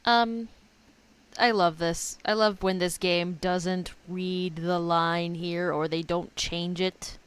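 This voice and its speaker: A woman's voice: on the low side, steady tone, takes it slowly, smooth. A woman streamer giving casual, slangy game commentary, often talking to herself as she plays. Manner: informal, slangy, self-talking. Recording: faint game music behind.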